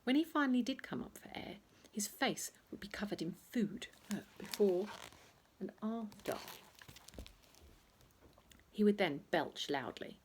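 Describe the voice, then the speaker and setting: A woman reading a children's bedtime story aloud in an expressive, playful way, acting out noises as she goes. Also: mid-range voice, a little slow, pauses often, slight background noise. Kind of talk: animated bedtime story reading with sound effects and asides.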